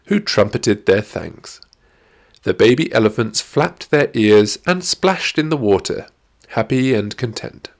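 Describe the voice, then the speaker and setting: Slow, lively male storybook narrator. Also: lowish voice. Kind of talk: scripted children's audiobook narration.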